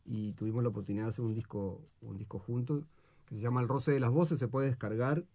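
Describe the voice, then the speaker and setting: Male guest, medium pitch, very mellow dark tone, casual interview talk. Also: fairly even intonation, quick pace.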